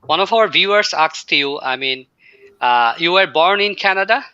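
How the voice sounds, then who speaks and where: Bright, tense-voiced host on a lo-fi line. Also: male voice, fairly high pitch, expressive, moderate pace, smooth, no room sound. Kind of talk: interviewer asking questions.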